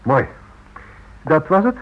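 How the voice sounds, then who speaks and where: A man acting in a radio drama as a brisk, businesslike, matter-of-fact office man. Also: on the high side, big pitch swings, slight background noise. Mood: formal, dictating. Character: brisk, indifferent businessman dictating to his secretary. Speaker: actor. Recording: muffled old radio recording.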